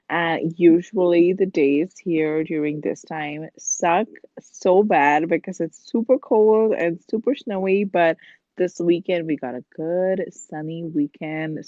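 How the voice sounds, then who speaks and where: A woman's voice: deep voice, mellow, dry recording. A young woman from India, a podcast guest, talking casually with lots of "like" and "um" and laughing easily. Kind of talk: casual chat. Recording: dull lo-fi dry sound.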